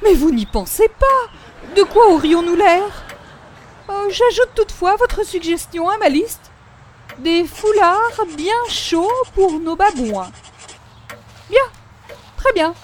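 Prim neighbour lady, very high-pitched, effusive, exclamatory, radio comedy, slightly noisy recording. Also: lively intonation, slow, long pauses, exaggerated voice. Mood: earnest, fussy. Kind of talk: 1940s radio comedy acting.

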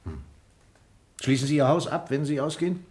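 A man's voice: a little high, very animated, moderate pace, a little mellow. A man acting in a radio drama as a sceptical, authoritative police inspector who questions in a dry, probing way. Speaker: actor playing a police inspector.

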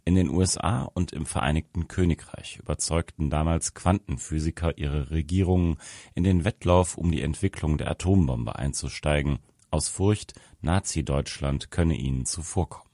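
Male narrator, very deep bass, formal measured article read, dry studio-style sound. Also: warm tone, dry recording. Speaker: narrator reading a magazine article. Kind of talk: scripted narration.

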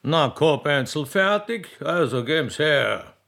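A man with an Austrian accent playing a gracious old emperor who speaks in a halting, courtly manner. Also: a little high, very animated, creaky, a bit bright, a little hiss or hum, voice pushed up, heavily acted. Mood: impatient.

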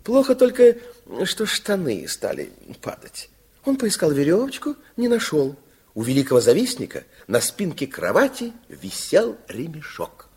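A male narrator of a radio fairy tale with a high, husky voice. His intonation swings very widely. He tells the story expressively, with a wry touch. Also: huge pitch swings, unhurried, a little mellow.

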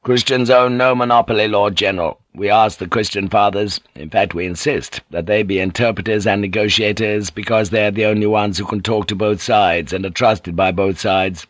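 A man's voice: lowish voice, steady tone, normal speed, few pauses, fairly bright tone, no room sound, close to an everyday voice. A man voicing Kiyama, a stern samurai lord in his sixties, stiff and formal. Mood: firm. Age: 60s.

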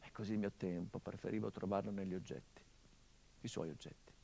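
A middle-aged actor playing a grieving son whose father was murdered, speaking a theatrical monologue in a measured, grave, introspective way. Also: sits low, fairly even intonation, creaky, dark tone.

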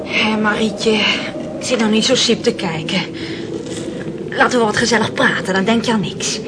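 An actress plays a sociable young woman of the household, brisk and chatty. Her voice is high. The stage-like radio drama comes through heavy noise. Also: fairly even intonation, close to an everyday voice. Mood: brisk coaxing. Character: lively, sociable young niece, cheering up the household. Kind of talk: stage-like radio play acting. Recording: very noisy old radio play recording.

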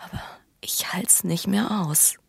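An actress playing a bitter, passionate, scheming young wife in a naturalistic radio drama, speaking with urgent intimacy and slipping into whispers. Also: female voice, lowish voice, a little slow, creaky, breathy edge, dry recording, heavily acted. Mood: bitter, weary.

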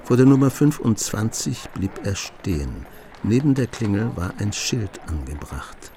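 A man tells the story as narrator of a radio drama. His voice is fairly low and follows a written script, with soft music underneath. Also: sing-song, unhurried.

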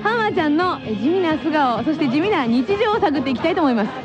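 High-voiced, fast-talking woman radio co-host. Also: sing-song. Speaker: radio show co-host and voice actor. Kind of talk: playful casual radio banter. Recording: dull lo-fi radio, loud music bed. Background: background music.

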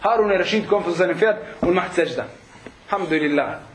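A man's voice: high-pitched, brisk, heavy background noise. A man preaching in an informal religious lesson, conversational and full of rhetorical check-backs to his listeners, with Arabic phrases mixed in. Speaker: Islamic preacher teaching a group.

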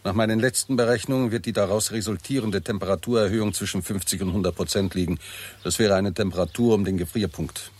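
An actor playing a confident, shrewd professor of astronomy, explaining precisely in a 1960s German radio drama style. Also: male voice, fairly low pitch, fairly even intonation, quick pace, darkish tone, lightly acted. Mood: self-assured. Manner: didactic, precise, self-assured.

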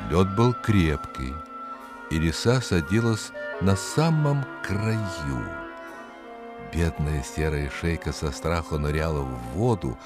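A male storyteller with a low voice gives a dramatic, scripted fairy-tale narration. He takes his time over the words with lively swings in pitch, over faint music. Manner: dramatic, measured, savouring words.

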